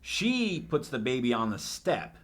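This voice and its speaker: A man's voice: mid-range voice, very animated, a little slow. A man giving casual, rambling opinions in a review chat, with plenty of swearing. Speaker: podcast co-host reviewer.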